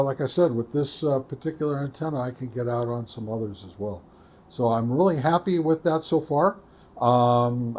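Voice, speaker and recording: A man giving a casual, unscripted product review as a hobbyist, speaking haltingly as he thinks aloud. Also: medium pitch, darkish tone. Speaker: YouTube radio hobbyist reviewer.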